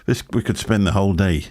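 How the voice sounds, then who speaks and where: A man, hosting a casual live stream and rambling from topic to topic into a desk microphone. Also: darkish tone. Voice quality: deep booming voice. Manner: rambling, chatty, chuckling to himself. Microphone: desk microphone through a mixer.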